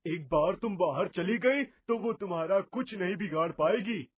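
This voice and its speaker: A man's voice: high voice, normal speed, a little hiss or hum, pitched below the performer's own voice, lightly acted. A man voicing a talking dog with a grave, warning manner in a children's cartoon story. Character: cautioning talking dog, a human turned animal.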